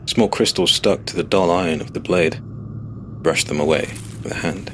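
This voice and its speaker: A man's voice: deep voice, normal speed, background noise. A man reading a scripted first-person fantasy story as its narrator.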